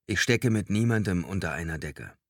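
A man voicing an earnest, worried man who pleads gently but insistently with an old friend in an audiobook. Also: low voice, animated, normal speed, dry recording, clean studio sound. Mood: urgent, reassuring. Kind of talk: audiobook character acting.

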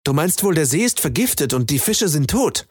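A man's voice: a little high, very animated, fairly quick, husky, dry recording, clean studio sound. A man voicing a boy who is thirsty and upset. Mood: puzzled.

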